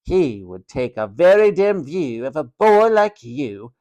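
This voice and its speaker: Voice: mid-range voice, big pitch swings, a little slow, darkish tone. A storyteller voicing a cruel, sneering hospital matron, a domineering woman who snaps and mocks. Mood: smug, snapping. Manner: snapping, mocking, sarcastic, domineering.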